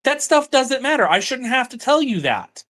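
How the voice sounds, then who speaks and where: A man's voice: very high-pitched, very animated, moderate pace, fairly bright tone. A man in a heated personal argument over the phone, frustrated and accusatory, repeating himself. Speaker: partner on a phone call.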